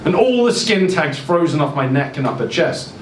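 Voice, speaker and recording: A man's voice: a little high, big pitch swings, moderate pace. Man reading fiction aloud in a roomy venue. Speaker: reader performing a short story at a live literary event. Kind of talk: live dramatic reading of first-person fiction. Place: indoor social venue, live event. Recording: roomy, distant mic, clear ventilation noise.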